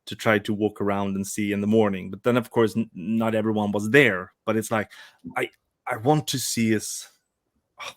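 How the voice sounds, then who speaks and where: Man, 40s, low voice, dry close sound, halting casual chat. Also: steady tone, no room sound. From Sweden. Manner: hesitant, many restarts. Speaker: guest, toy customizer. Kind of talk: casual live-stream chat.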